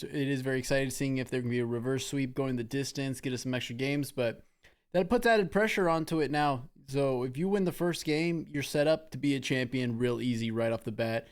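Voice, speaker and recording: A man's voice: medium pitch, clean tone. A man giving live esports commentary and analysis as a co-caster. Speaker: esports shoutcaster.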